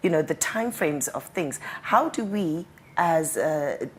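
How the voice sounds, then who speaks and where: Chatty low-voiced woman hosting a talk show. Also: lively intonation, slow pace, slight background noise. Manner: chatty and probing, asks long winding questions. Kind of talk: studio interview.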